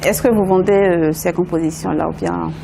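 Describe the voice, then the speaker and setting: A woman's voice: lowish voice, steady tone, moderate pace, gravelly. A woman from Benin hosting a TV interview, asking short prompting questions. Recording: noisy background.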